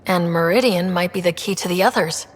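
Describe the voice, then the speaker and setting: A woman's voice: fairly low pitch, a little fast, in a large hall, lightly acted. A woman playing a young, easygoing space explorer leader in a video game, casual and inquisitive. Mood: friendly. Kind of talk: video game dialogue acting.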